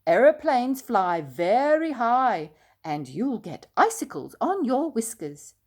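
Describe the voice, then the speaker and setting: A woman's voice: on the high side, sing-song, takes it slowly, darkish tone, pitched above the performer's own voice, heavily acted. Woman reading a firm, helpful airport luggage man. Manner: firm, kindly instructing.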